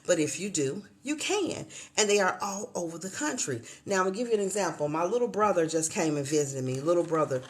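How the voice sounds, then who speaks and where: A woman's voice: on the low side, expressive, a little fast, hardly any pauses. A woman in her fifties from Chicago, hosting a casual livestream chat from a hotel room and addressing viewers as y'all. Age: 50s. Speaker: livestream host.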